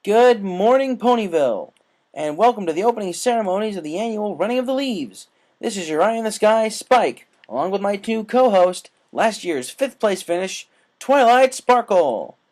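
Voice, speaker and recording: A performer voicing an excitable young boy dragon who announces like a race host in a cartoon fan story. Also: medium pitch, normal speed, voice pushed up, lightly acted. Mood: excited announcing.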